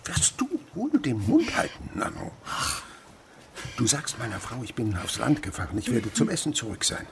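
An actor playing a stern, secretive old miserly winemaker in a radio drama, giving curt orders. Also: male voice, medium pitch, huge pitch swings, moderate pace, vocal fry, very noisy. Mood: irritated, urgent. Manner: curt, commanding.

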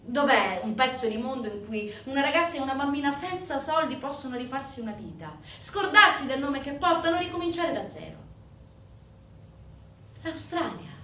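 A woman performing a theatrical narrative monologue, emphatic, with deliberate pauses and swings between hushed and forceful delivery. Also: sits high, sing-song. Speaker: stage actress and narrator. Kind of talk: dramatic theatrical monologue storytelling. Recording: distant, echoey room recording with faint noise.

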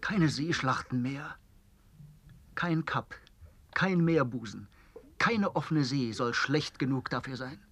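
Slow, solemn angel. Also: male voice, medium pitch, lively intonation, fairly bright tone, heavily acted. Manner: solemn, declarative, slow-spoken gravity. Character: solemn, insistent male angel sent by God. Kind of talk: German radio play acting.

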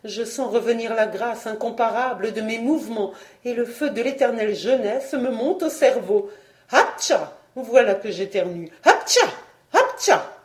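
A woman's voice: fairly high pitch, moderate pace, few pauses, mellow, reverberant, close to an everyday voice. A woman voicing a vain, imperious frog fairy queen in a storybook reading, with theatrical, bossy exclamations and a cruel temper. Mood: excited vanity.

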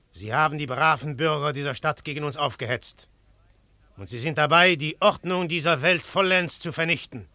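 Syme, intense, bright-edged hunted agent. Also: male voice, medium pitch, no room sound. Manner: dramatic, rhetorical, emphatic delivery. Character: Syme, hunted police agent, defiant, eloquent, excitable man. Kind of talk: German radio play acting with narration.

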